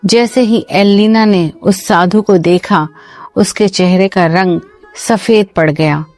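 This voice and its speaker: Lady as scared caring mom in a cartoon tale, super slow, halting and dramatic, music behind. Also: mid-range voice, darkish tone, no room sound. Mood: fearful. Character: frightened, caring mother of a grown son. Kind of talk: Hindi cartoon moral-story dialogue.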